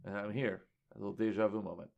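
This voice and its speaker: A man's voice: on the low side, fairly even intonation, quick pace, vocal fry, a little mellow, muffled recording. A man co-hosting a casual comics podcast, joking and teasing his partner. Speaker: podcast co-host. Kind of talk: casual chat.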